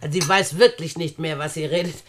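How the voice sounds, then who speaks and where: An actress playing a wealthy, condescending older aunt in a German radio play, speaking standard German in a deliberate, haughty manner. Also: female voice, low-pitched, steady tone, noisy background, natural voice. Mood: condescending, bossy. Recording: studio radio drama recording.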